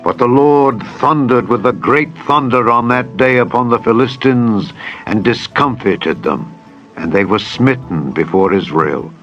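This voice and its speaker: A man narrating scripture in a dramatized style, with a solemn, measured delivery. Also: medium pitch, a bit bright, dull audio. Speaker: Bible narrator. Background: music.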